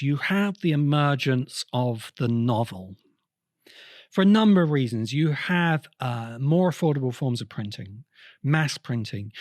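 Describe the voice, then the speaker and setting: A man hosting a podcast, explaining literary history in a deliberate, emphatic way with pauses between phrases. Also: mid-range voice, dry recording, studio quality. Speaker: podcast host.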